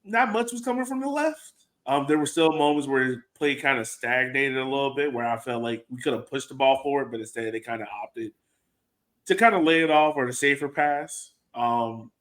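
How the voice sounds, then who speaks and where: Man, American accent, average pitch, casual sports chat, informal thinking aloud, podcast co-host. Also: animated, moderate pace, a bit bright. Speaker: podcast co-host and soccer supporter.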